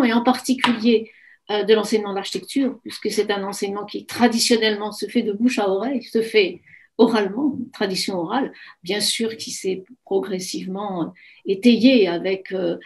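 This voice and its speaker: A woman's voice: medium pitch, a little mellow. A woman in her sixties conducting an academic interview, asking long, reflective, winding questions. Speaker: interviewer, emeritus professor of architecture. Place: home office with bookshelves. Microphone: computer video call.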